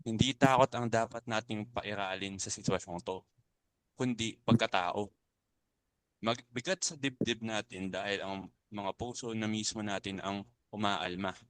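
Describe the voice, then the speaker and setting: Man acting principled Filipino uncle, dramatic reading, lowish voice, dry close sound. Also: fairly even intonation, measured pace, a bit bright, clear, resonant tone, no room sound, poor audio. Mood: earnest, firm. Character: principled older club uncle urging compassion over fear.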